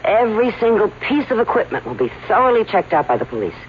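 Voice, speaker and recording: An actress playing an anxious yet scheming wife of an escape artist, in a vintage radio drama. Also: female voice, medium pitch, very animated, fast, lightly acted. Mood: knowing, guarded. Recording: dull, noisy old radio drama recording.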